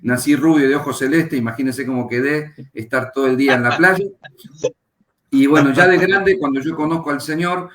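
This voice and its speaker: A middle-aged Argentine man, a pastor and Bible teacher, calmly telling his life story as a guest in an interview. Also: mid-range voice. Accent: Argentine Spanish. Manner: unhurried, reflective, pauses with fillers. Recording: echoey room.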